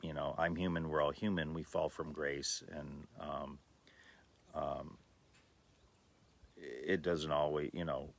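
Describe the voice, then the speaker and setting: A man in his sixties from the Los Angeles area, giving a slow, thoughtful personal talk with many pauses and fillers. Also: rumbling low, fairly even intonation, vocal fry. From Los Angeles area, USA. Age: 60s. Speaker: livestream host.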